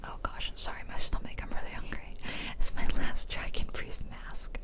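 A woman speaks entirely in a whisper, as in an ASMR video. She narrates her gameplay casually, chatting and rambling along like a streamer talking to viewers. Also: normal speed.

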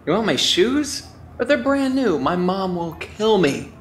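Man playing an excitable, high-voiced young guy. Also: measured pace. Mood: anxious. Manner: excitable, whiny. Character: nervous, whiny, naive young male amateur blogger. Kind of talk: comic audio drama acting. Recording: roomy echo, faint background noise.

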